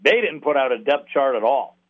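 A man heard over a thin, phone-like radio line, giving sports analysis as a guest announcer. His pitch sits mid-range, and he talks haltingly, pausing in the middle of thoughts. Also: lively intonation. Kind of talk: sports interview, analytical talk.

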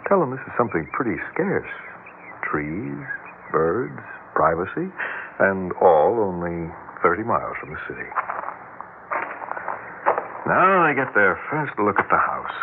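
Low-voiced folksy realtor, old radio. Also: male voice, big pitch swings, a little slow, long pauses, full character voice. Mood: genial, musing. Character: affable older-style realtor showing a country house, narrating. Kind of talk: 1960s radio drama acting. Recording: noisy, narrow-band old 1960s radio recording.

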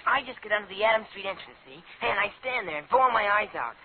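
A man's voice: high-pitched, fast, phone-quality sound, crowd noise. A young male actor playing an eager, cocky teenage boy who idolises his crook father, in a 1950s radio crime drama. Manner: excitable, boastful, slangy. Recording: hissy, band-limited old radio broadcast.

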